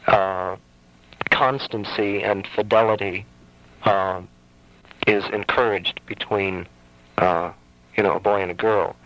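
A man with a low, gravelly voice hosts a radio call-in. He thinks aloud in a halting, searching way, full of ums. The sound is dull and lo-fi, like an old broadcast. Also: a bit bright. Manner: thoughtful, hesitant, lots of ums. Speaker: radio announcer hosting a call-in. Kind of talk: casual call-in radio chat. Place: radio studio.

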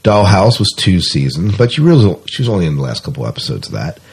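A man's voice: sits low, very animated, fast, slightly rough. A man co-hosting a casual podcast, talking in a blunt, opinionated way and sometimes reading news items aloud. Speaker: podcast co-host.

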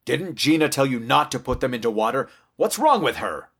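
A man's voice: fairly low pitch, animated, normal speed, fairly bright tone. A man voicing a protective, exasperated but caring father in a storybook, with a plain, firm parental delivery. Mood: alarmed, angry.

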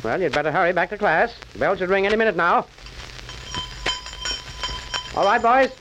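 Male schoolteacher, authoritative, swooping pitch, crackly 1940s radio drama. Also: animated, quick pace. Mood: brisk, authoritative. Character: brisk male schoolteacher keeping boys in order.

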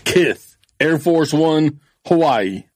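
A man's voice: fairly high pitch, lively intonation, takes it slowly. A man co-hosting a casual podcast, boasting about his picks and laughing along. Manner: boastful, reads list with laughs. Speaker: podcast co-host. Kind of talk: casual chat.

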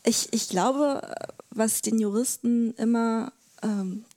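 A woman's voice: a little high, slow, mellow. A woman speaking as a legal academic guest, explaining in conversation, careful and hesitant as she searches for words.

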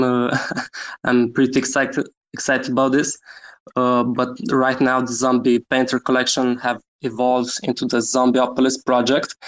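Romanian man, live-chat guest, flat, halting delivery full of ums. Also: medium pitch, rough recording. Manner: hesitant, many fillers, laughs often. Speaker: guest NFT creator. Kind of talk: casual chat on a Twitter space.

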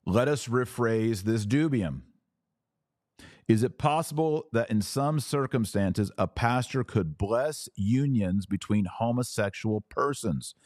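A man's voice: on the low side, a little mellow, no room sound, studio quality. A man hosting a podcast, reading documents aloud with emphasis and adding informal, colloquial explanations as commentary.

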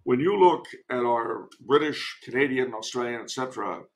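Wry American man with creaky voice. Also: mid-range voice, lively intonation, low-quality recording. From United States. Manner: wry, measured, deliberate phrasing. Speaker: podcast guest. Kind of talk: conversational political commentary.

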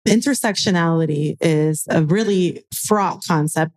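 A woman's voice: low-pitched, a little mellow. An American woman in her forties speaking as a panel guest, emphatic and wry, repeating phrases for effect. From United States. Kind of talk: panel discussion.

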